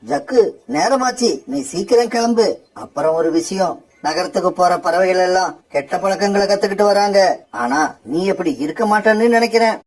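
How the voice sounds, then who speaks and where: A man's voice: high voice, moderate pace. A man voicing a stern father bird in a cartoon, hurrying his son along and giving him advice.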